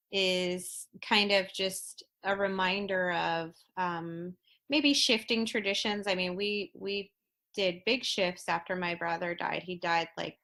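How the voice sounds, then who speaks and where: A woman in her thirties talks as a podcast guest, her voice at an ordinary middle pitch. She speaks in a halting, heartfelt way, restarting sentences and reaching for words as she goes. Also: pushed and bright. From Florida. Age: 30s. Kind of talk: casual podcast conversation.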